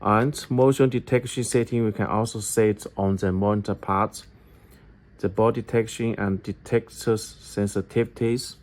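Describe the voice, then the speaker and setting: A man giving a step-by-step product tutorial in halting English, often repeating his phrases. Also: lowish voice, fairly even intonation, a little mellow. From China. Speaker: product demonstrator for an electronics company.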